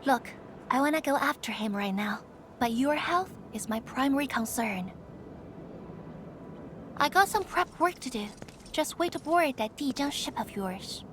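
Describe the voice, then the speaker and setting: High-voiced, haughty scolding woman. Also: lively intonation, measured pace, pauses often, noisy background. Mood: grudging care. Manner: haughty, exasperated, sighing. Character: brusque, scolding woman ally who hides her concern. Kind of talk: video game voice acting.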